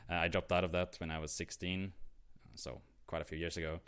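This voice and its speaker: Deep-voiced young Swedish man. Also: level tone, fairly quick, fairly bright tone, smooth. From Sweden. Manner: rambling, many fillers and restarts. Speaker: podcast co-host. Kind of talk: casual chat.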